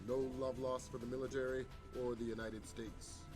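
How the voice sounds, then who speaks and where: An actor playing a measured intelligence chief who briefs the president in a game cutscene. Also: male voice, medium pitch, little pitch movement, close to an everyday voice. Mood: grave. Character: calm measured male intelligence chief, middle-aged. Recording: game soundtrack with clear music.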